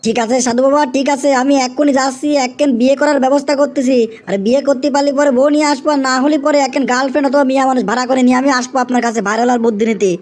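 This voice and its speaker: A man acts out an excitable young cartoon bachelor with a strikingly high voice. He has a Bangladeshi accent and whines and pleads, hammering his phrases again and again like a comic schemer chasing fame. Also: fast talker, hardly any pauses. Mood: insistent. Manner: whiny, pleading, repeats himself emphatically. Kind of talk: exaggerated comic cartoon acting.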